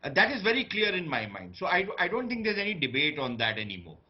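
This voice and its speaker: A man's voice: sits high, wildly swooping pitch, fast, highs cut off. A man with an Indian accent giving analytical debate commentary as a panelist, building his argument emphatically step by step.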